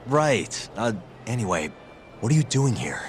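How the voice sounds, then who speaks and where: A man's voice: mid-range voice, very animated, measured pace, slight background noise. An actor playing an easygoing, slightly awkward male private investigator in a video game, casual and hesitant. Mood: calmer, questioning. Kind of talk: English game dub cutscene acting, naturalistic. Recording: music behind the dialogue.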